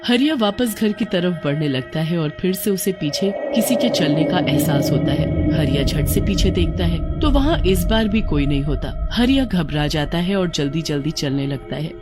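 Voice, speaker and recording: A woman's voice: deep voice, animated, normal speed, hardly any pauses, music in the background. Woman narrating a scripted story.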